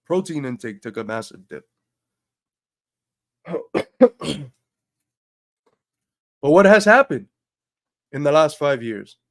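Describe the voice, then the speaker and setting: A man's voice: fairly high pitch, no room sound. A man hosting a livestream, talking earnestly and building to emphatic points while feeling under the weather. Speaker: livestream host. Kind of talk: casual livestream talk.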